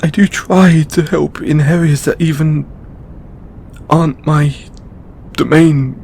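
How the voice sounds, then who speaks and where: Man acting a slow, hesitant, stressed god boyfriend. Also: steady tone, gravelly, darkish tone. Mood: hurt, self-doubting. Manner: hesitant, halting, stammering and sighing. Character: stressed, tender male god confiding in a devoted lover. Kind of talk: intimate audio roleplay acting. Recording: background noise with low rumble.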